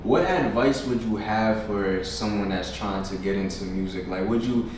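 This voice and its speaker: A man's voice: lowish voice, normal speed. A man hosting a podcast, putting casual questions to his guest in an informal interview style. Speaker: podcast host. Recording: echoey room, distant mic, background noise.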